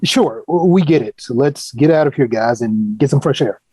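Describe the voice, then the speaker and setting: An actor playing an easygoing, calming friend in a screenplay table read. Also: male voice, medium pitch, moderate pace, slightly rough, low-quality recording. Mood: placating.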